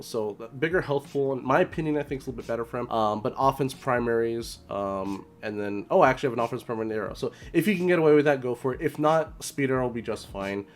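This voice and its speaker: A young man with a slightly hoarse voice, talking casually at home through a game strategy walkthrough, full of ums and restarts. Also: medium pitch. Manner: chatty, many ums and restarts. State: slightly hoarse, recovering from illness. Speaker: game guide creator. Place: home room, indoors.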